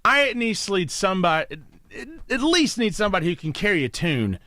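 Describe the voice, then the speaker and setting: Bright, high-voiced co-host. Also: male voice, sing-song, fairly quick, no room sound, clean studio sound. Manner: chatty, teasing. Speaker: radio co-host. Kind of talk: casual banter.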